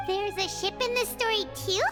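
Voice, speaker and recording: A woman voicing a small, excitable, curious fairy companion in a video game, with a squeaky childlike voice. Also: normal speed. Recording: loud music behind the voice.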